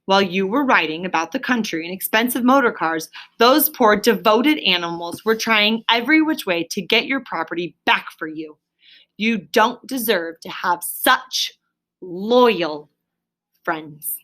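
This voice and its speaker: A woman's voice: medium pitch, expressive, measured pace, a bit bright. A woman voicing a cross, scolding but good-natured water rat in a storybook, with emphatic delivery. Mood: reproachful.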